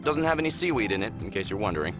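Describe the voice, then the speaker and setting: A man's voice: lowish voice, very animated, racing. An actor playing a friendly, easygoing human spaceship crewman in a visual novel game, casual and amiable. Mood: warm, casual. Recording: music clearly playing behind.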